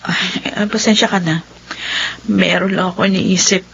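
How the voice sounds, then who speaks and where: Middle-aged filipina mom in a drama, bright raspy voice, worried n exasperated. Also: female voice, lowish voice, fairly even intonation, moderate pace, muffled recording, heavily acted. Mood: subdued, troubled. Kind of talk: melodramatic Filipino drama acting.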